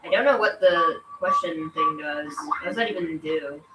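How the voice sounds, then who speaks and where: Woman, game streamer, stuffy congested voice, informal rambling chat, roomy echo, loud music in background. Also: low-pitched, normal speed. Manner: rambling, informal, filler-heavy "like". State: congested from allergies. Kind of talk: casual chat while gaming. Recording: echoey room, distant mic, loud music. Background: loud video game music.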